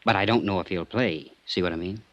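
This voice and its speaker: An actor playing a cynical, streetwise American roadhouse operator in a mid-century radio detective drama, talking brash and slangy. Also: male voice, low-pitched, fast talker, no echo, muffled recording, close to an everyday voice. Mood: bitter.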